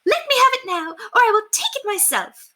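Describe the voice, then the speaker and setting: A snappish, imperious noblewoman on the edge of hysterics, as a woman reader acts her out. Her voice shoots very high and swings widely, with a bright, piercing edge, in storybook style. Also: sing-song, normal speed, heavily acted. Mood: frantic. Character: haughty, hysterical aristocratic lady, snappish and imperious. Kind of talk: children's storybook read aloud.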